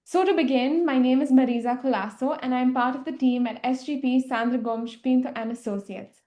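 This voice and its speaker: A woman's voice: sits high, steady tone, fairly quick, mellow, roomy sound. A woman hosting a live online question session, giving a polished, formal scripted introduction. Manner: polished, formal and welcoming, reading a prepared script. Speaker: host.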